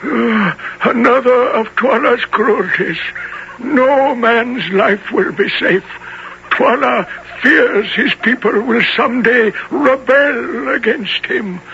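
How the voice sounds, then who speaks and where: Really high creaky old african noble, slow n gentle, old radio drama sound. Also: male voice, heavily acted. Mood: grave. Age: older. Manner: slow, archaic formal phrasing. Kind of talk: 1970s radio drama acting. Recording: dull, noisy old radio broadcast.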